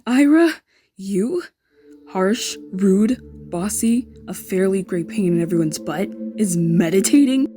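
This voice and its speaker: A woman playing a moody, awkward young dark fairy, hesitant and sardonic, in a conversational roleplay. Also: mid-range voice, expressive, slow. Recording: music behind the voice.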